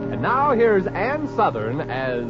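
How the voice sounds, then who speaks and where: A man's voice: high-pitched, huge pitch swings, slow. A man announcing a show in a theatrical, projected 1940s radio style. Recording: muffled vintage radio, loud music behind.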